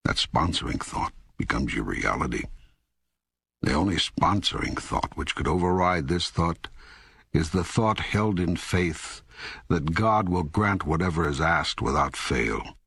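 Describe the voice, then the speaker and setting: An actor reading God in an audiobook dialogue as a calm, authoritative teacher, with measured, solemn delivery and deliberate emphasis. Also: male voice, rumbling low, slightly rough, dry recording, exaggerated voice. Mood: solemn, assured. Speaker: audiobook co-narrator.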